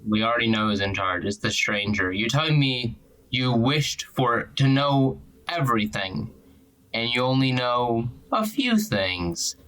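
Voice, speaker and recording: A man's voice: medium pitch, a little slow, fairly bright tone, close to an everyday voice, rough recording. A man playing a suspicious, accusing character in a tabletop drama, pressing with terse, pointed questions. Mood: accusing, bitter. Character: suspicious, accusing, dogged interrogator named Dakota.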